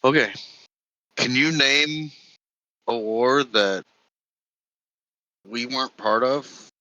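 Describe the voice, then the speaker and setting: A man in his late thirties co-hosting a casual chat, laid-back and unhurried. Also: mid-range voice, bright, forceful tone, dry recording, dull audio. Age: 30s. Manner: slow, laid-back, says "bro". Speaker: co-host.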